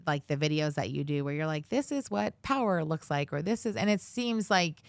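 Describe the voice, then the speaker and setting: Low-voiced woman in casual chat. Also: expressive, a little fast, vocal fry, no echo. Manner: chatty, many fillers and restarts. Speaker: guest, commercial real estate director. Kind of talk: casual interview conversation.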